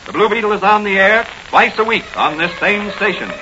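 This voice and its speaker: High, bright-voiced 1940s radio announcer, man. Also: fairly quick, slight background noise. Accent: American. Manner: emphatic, declamatory. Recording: muffled old radio recording.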